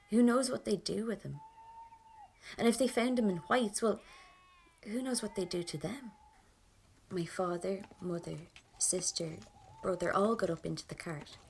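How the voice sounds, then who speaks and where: A woman's voice: lowish voice, gravelly, a little hiss or hum. An actress playing a grieving, stubborn Irish woman from a 1920s rebel family, telling her story plainly and with restraint, in an Irish accent. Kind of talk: first-person audio drama monologue.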